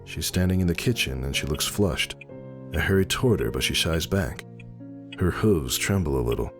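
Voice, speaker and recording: Somber, suspicious pony husband, read by a man, deep-voiced dramatic first-person storytelling over music. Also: lively intonation, moderate pace. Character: brooding male pony husband, anxious and suspicious. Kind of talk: dramatic audiobook narration.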